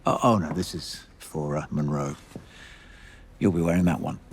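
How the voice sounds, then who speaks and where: Very deep-voiced, fussy outfitter. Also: male voice, fairly even intonation, moderate pace, creaky, a little hiss or hum. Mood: droll. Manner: fussy, droll. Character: fussy, dryly proud male British spy outfitter. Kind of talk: video game cutscene acting. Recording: music behind the voice.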